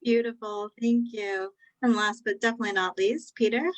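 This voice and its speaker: A woman's voice: medium pitch, fairly even intonation, normal speed, slight background noise, poor audio. A woman hosting a panel discussion in a mid-range voice. She keeps things moving, thanking each guest and inviting the next to speak in an encouraging tone. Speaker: host. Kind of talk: moderating a panel conversation.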